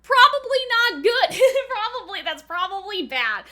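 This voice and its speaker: Bright, high-voiced young woman chatting casually. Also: big pitch swings, unhurried. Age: young adult. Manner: informal, speculative, peppered with "like". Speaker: anime reaction host. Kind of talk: casual chatty commentary and review.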